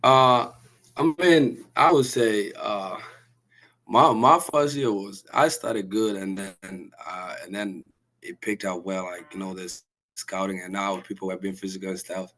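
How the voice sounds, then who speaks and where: A young man from Sudan speaks in a very low, level voice. He answers softly in a casual interview and restarts often, searching for the words. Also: fairly even intonation, rough recording. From South Sudan. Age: young adult. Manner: soft-spoken, halting, with many restarts and fillers. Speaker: college basketball player, guest.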